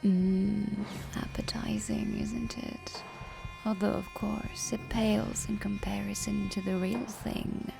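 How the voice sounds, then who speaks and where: Woman as macabre vampire countess hosting ASMR cookery: genteel, very unhurried whisper, music underneath. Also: mid-range voice, flat delivery. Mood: sinister, coy. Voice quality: breathy whisper with creaky fry. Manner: slow, deliberate, genteel. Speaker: host. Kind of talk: ASMR recipe narration.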